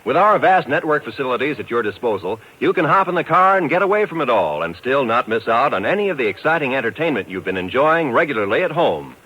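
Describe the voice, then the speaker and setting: A man's voice: mid-range voice, sing-song, fast, few pauses, bright, forceful tone, slightly rough. A man delivering a scripted 1950s radio network promo with upbeat, polished salesmanship. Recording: dull, muffled vintage radio recording.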